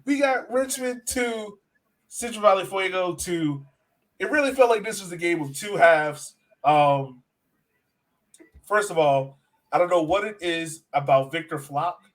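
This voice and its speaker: A man hosting a sports podcast in a casual, animated, slangy and joking way. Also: high voice, a little slow, rough recording. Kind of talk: casual chat.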